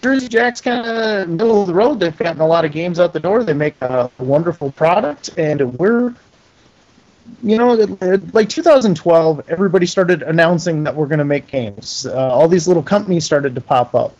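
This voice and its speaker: A man's voice: on the high side, lively intonation, moderate pace, darkish tone, lo-fi sound. A middle-aged man giving a casual interview as a guest, talking in a folksy, self-deprecating and enthusiastic way. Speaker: interview guest, pinball company owner.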